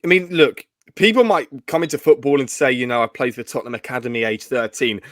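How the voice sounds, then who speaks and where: A man's voice: medium pitch, fast talker, a bit bright, no room sound, clean studio sound. A man hosting an interview show, talkative, asking long framing questions.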